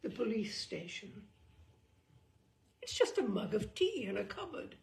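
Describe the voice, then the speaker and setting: A woman reading a humorous book aloud in a theatrical, deadpan way, with dramatic emphasis and pauses. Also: mid-range voice, vocal fry. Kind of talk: theatrical read-aloud of dry comic prose.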